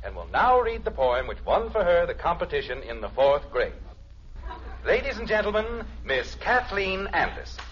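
An actor playing a formal, upbeat master of ceremonies at a school contest in an old radio comedy. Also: male voice, high voice, big pitch swings, moderate pace, fairly bright tone. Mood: formal, encouraging. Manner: formal, declamatory. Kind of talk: old-time radio acting, public address. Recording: dull, noisy old radio recording.

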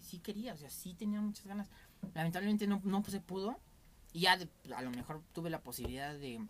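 Candid Mexican man, rambling podcast chat. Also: fairly high pitch.

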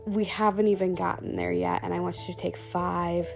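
Quiet chatty woman, scratchy gravelly throat, music playing under her. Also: lowish voice, little pitch movement, normal speed. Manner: soft-spoken, chatty with fillers. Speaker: podcast host. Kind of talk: calm guided self-talk meditation. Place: dark room at home under blankets.

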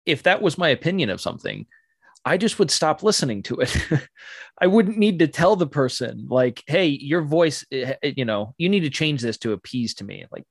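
A man hosting a podcast, chatting casually in a relaxed way with frequent laughs and wry asides. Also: on the high side, moderate pace, gravelly, husky, no echo, clean studio sound. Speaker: podcast host.

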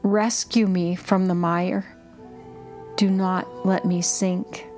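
A woman guiding contemplative prayer, reading in short, measured and deliberate phrases. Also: lowish voice, flat delivery, a little mellow. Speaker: prayer guide and podcast host. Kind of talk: slow, repetitive guided contemplative prayer reading. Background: music under the voice.

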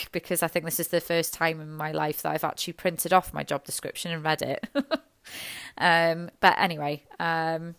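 A woman, a nurse, talking in a halting, rambling, self-deprecating way, her voice hoarse and gravelly from illness. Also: low-pitched, steady tone, fairly bright tone, no room sound. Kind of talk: reflective personal monologue.

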